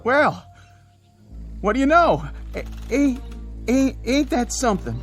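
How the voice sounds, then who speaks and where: A man's voice: very high voice, very animated, slow pace, faint music behind, full character voice. A man playing a frightened small-town grocery delivery man in an audio drama, stammering and nervously agreeing. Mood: frightened, placating.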